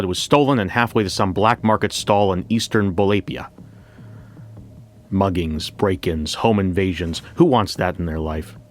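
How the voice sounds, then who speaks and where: An actor playing a wry, reflective bank coordinator who tells his story in the first person, in a measured, conversational way. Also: on the low side, slight background noise, natural voice. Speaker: narrator. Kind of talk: first-person scripted audio fiction narration. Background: music.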